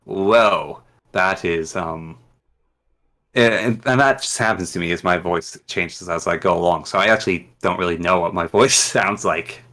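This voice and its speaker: Relaxed, very deep-voiced man. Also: unhurried. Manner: relaxed, reflective. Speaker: podcast host. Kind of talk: casual conversation.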